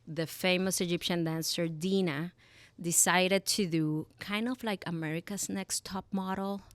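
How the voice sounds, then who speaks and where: A woman's voice: on the low side, fairly even intonation, unhurried, dry recording. A woman hosting a live podcast, introducing her guest and asking about her story. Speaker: podcast host. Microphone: live event microphone.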